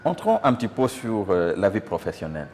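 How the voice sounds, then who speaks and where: A man asks interview questions in a deep, very animated voice. His phrasing is conversational and hesitant, with repeated words. The sound has long reverb, as in a hall. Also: a little fast, slight background noise.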